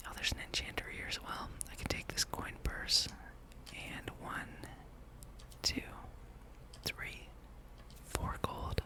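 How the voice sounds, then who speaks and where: A person speaking in a breathy whisper, gently rambling and musing aloud while hosting an ASMR gameplay video. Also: slow pace. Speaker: gamer and video host.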